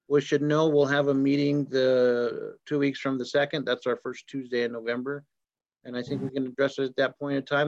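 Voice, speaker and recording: A man chairs a county board meeting in an easygoing, rambling way, talking through next steps. His voice has a middling pitch. The sound is dull and dry, with no room around it. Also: no echo.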